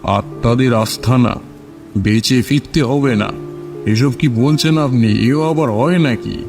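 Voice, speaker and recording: A man's voice: medium pitch, a little slow, over music, lightly acted. A man playing a courteous, modest and sceptical letter-bearer in cartoon story dialogue. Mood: doubtful, reassuring.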